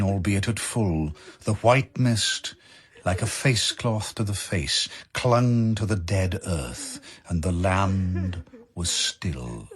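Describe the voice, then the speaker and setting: A man narrating dramatic verse in a measured, solemn manner with weighty pauses. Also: fairly low pitch.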